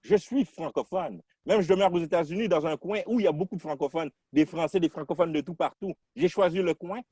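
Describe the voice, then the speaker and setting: A man from Quebec talking through his identity in a casual podcast discussion, reflective and halting, restarting his sentences often. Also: fairly high pitch, warm tone, slightly rough, no room sound, clean studio sound.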